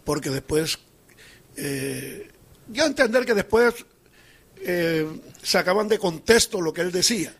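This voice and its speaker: A man's voice: high-pitched, lively intonation, unhurried, creaky, long pauses, fairly bright tone, husky. A man who is a sports journalist with a Colombian Caribbean accent, telling a rambling anecdote with many restarts.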